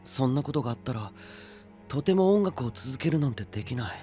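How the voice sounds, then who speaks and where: A man playing a thoughtful, inquisitive young knight in an anime-style voice drama, casual and expressive. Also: mid-range voice, brisk, soft background music, natural voice. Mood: concerned.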